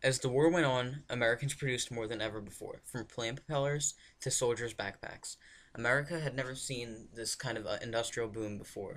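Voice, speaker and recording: A man's voice: medium pitch, a little fast, fairly bright tone, slight background noise. A man narrating a scripted newsreel, reading from prepared text.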